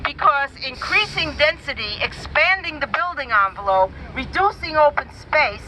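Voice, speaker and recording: A woman's voice: high voice, lively intonation, moderate pace, pushed and bright, a little hiss or hum, poor audio. A woman speaking at an outdoor protest rally, reading a prepared statement for her community coalition in a firm, declarative way. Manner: firm, declarative, builds lists to a rallying call.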